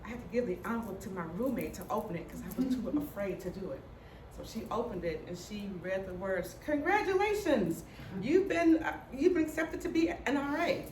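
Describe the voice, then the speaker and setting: A woman's voice: medium pitch, expressive, a little fast, few pauses. Middle-aged woman, stutters, candid and funny personal talk; echoey room, mic set at a distance, noisy background. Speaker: speaker telling her story. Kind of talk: personal story talk to an audience. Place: hall before an audience. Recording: roomy sound from a distant mic with noise.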